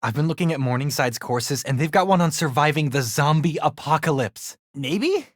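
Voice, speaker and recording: Casting: excitable teen tech geek, game sidekick; very animated pitch, wisecracking, dry close recording. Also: male voice, normal speed, dry recording. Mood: casual, musing. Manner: casual, wisecracking, expressive. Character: nerdy, excitable teenage boy, hero's tech-savvy best friend. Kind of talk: video game voice acting.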